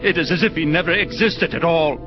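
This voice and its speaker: An actor playing an anguished, proud angel who questions divine justice, speaking solemnly and formally with passion and a creaky edge. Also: male voice, on the high side, fairly quick, natural voice. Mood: stunned, bitter. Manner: impassioned, solemn, formal diction. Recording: dull lo-fi audio under loud music.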